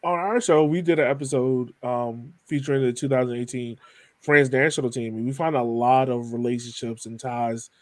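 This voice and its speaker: A man's voice: medium pitch, steady tone, normal speed, no room sound. A man hosting a podcast, talking in a casual, conversational interview style. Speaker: podcast host.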